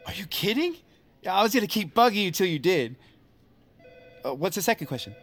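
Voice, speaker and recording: An actor playing a friendly, exasperated dispatcher and chummy workmate in an audio drama. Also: male voice, high-pitched, very animated, fairly quick, fairly bright tone. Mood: chummy, surprised. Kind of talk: naturalistic audio drama dialogue.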